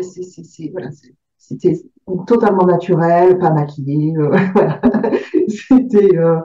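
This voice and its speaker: Very dark-voiced woman, distant and roomy. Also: fairly low pitch. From Aveyron, southern France. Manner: hesitant, many fillers and repeated words. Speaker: author. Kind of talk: interview answers about her book. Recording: distant, roomy, dull sound.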